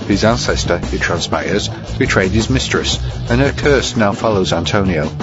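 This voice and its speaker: A man's voice: on the low side, animated, moderate pace. A man presenting a scripted review segment as a narrator. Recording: dull lo-fi recording under music. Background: guitar music.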